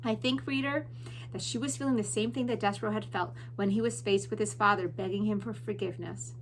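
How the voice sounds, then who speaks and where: A woman teacher giving class announcements and reading a story aloud with deliberate, expressive emphasis. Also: medium pitch, slight background noise, poor audio. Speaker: elementary school teacher.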